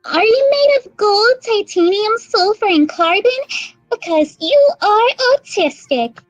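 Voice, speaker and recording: A woman's voice: very high-pitched, lively intonation, a little slow, no echo, dull audio, soft background music. A woman guest with a childlike voice, chatting casually and reading memes. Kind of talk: casual chat, meme reading.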